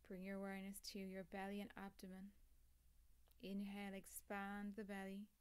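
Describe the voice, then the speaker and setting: A woman guides a relaxation practice in a soft, mid-pitched voice with very little melodic movement. Her delivery is calm and measured, with long pauses between short instructions. Phrase endings often fall away into breath, giving it a soothing feel. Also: smooth. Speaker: yoga nidra teacher. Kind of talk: guided meditation, scripted instruction.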